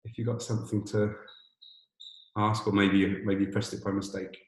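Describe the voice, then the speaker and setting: A man with a boomy voice presents a webinar on a video call from an empty borrowed office, talking hesitantly with many restarts and fillers. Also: little pitch movement, moderate pace, reverberant, poor audio. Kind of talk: informational webinar talk. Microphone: computer mic on a video call.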